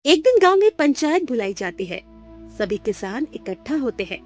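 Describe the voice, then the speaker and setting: Lively, high-pitched woman storyteller. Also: normal speed, soft background music. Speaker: narrator. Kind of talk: scripted storybook narration for cartoon.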